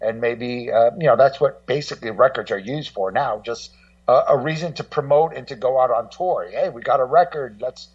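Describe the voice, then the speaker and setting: A middle-aged American man talking casually as a guest co-host in a radio discussion, in a rambling, halting way full of "you know". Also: mid-range voice, far from the mic, lo-fi sound. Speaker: guest co-host and rock music journalist.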